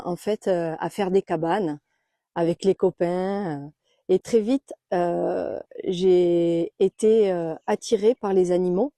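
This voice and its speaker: Woman from southern France, very warm dark tone, dry close sound, halting and gentle, frequent pauses. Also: fairly low pitch, dry recording. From born in Belgium, raised in southern France. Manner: hesitant, many filler pauses, grows soft and quiet. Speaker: naturopath interviewed about her life. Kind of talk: reflective personal storytelling.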